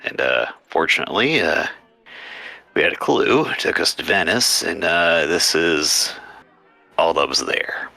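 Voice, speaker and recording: A man playing a tabletop game, speaking in character with many pauses and fillers. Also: low-pitched, expressive, vocal fry, sharp tone, no room sound. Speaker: player.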